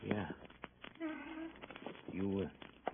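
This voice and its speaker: An actor playing a cocky, defiant young tough under suspicion, talking in street slang, in 1950s radio drama style. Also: male voice, sits low, flat delivery, extremely slow, creaky, full character voice. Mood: cocky, needling. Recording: noisy, muffled old radio recording.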